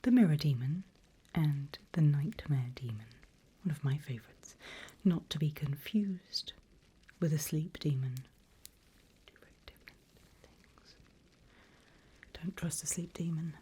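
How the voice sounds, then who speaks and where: Slow, whispering female demon mentor played by a woman. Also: low-pitched, mellow, exaggerated voice. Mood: hushed, instructive. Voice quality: breathy, mostly unvoiced whisper. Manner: slow, deliberate, dryly humorous. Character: seasoned female demon, wry, encouraging instructor of new demons. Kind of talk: whispered ASMR roleplay.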